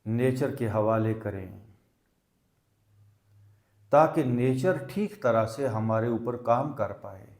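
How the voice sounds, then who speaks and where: A man leading a guided meditation as a yoga teacher, deliberate and repetitive, with long pauses between phrases. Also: mid-range voice, lively intonation, creaky, a little mellow. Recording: roomy, echoing sound.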